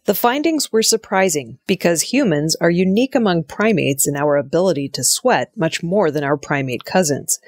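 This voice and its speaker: A woman newsreader delivering scripted narration in a measured, formal manner. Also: lowish voice, dry recording, studio quality. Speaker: audio news reader.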